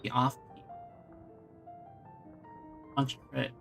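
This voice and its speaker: A streamer talks through a game in a casual, think-aloud way, often muttering to themselves. The voice sits at a middling pitch, and game music plays clearly underneath it. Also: flat delivery, extremely slow. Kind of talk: casual live gameplay commentary. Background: video game music.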